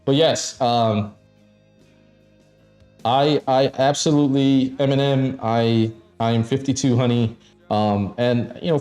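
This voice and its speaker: A man in his fifties talks loosely on a live stream, blunt and honest to a fault and sprinkling in curses. His voice sits at an ordinary middle pitch. Faint music plays quietly behind him. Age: 50s. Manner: blunt, frequent swearing and laughing. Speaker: stream co-host. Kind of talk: casual live-stream chat.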